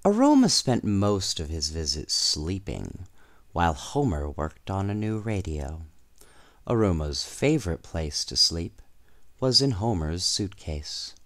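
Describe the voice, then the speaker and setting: A man reading a children's storybook aloud in an expressive, unhurried way, with casual asides. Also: deep voice, no room sound. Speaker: storybook reader.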